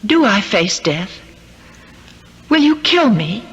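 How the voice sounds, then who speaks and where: A woman's voice: mid-range voice, big pitch swings, takes it slowly, husky, in a large hall, heavily acted. An actress playing an earnest, brave woman in a 1970s gothic radio drama. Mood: calm, fearless. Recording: dull, slightly noisy old radio recording.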